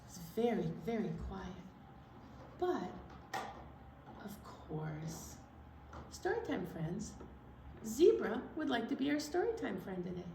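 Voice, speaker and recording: A woman leading a children's story time, speaking to young children in an animated, encouraging way and asking them questions. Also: medium pitch, slow, gravelly, pauses often. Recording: roomy echo, distant mic, faint noise.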